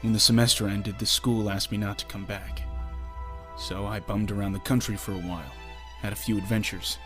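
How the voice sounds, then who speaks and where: A man's voice: fairly low pitch, fairly even intonation, fairly quick, natural voice. A man playing a bitter, earnest young former sidekick, delivering a reproachful dramatic monologue in a comic dub. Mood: resentful, wry. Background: music.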